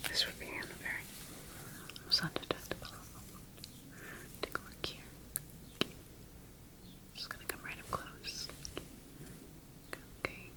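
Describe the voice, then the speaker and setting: Slow whispering woman as a calm female scientist. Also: natural voice, poor audio. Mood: clinical, focused. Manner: soft, soothing, methodical murmuring to herself and patient. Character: calm, clinical female scientist examining her creation. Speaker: ASMR roleplay performer. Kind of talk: whispered ASMR sci-fi medical roleplay.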